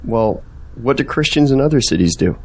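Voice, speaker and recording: A man's voice: medium pitch, animated, moderate pace, darkish tone. A young man playing an earnest, faithful friend in an audio drama, sincere and questioning. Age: young adult.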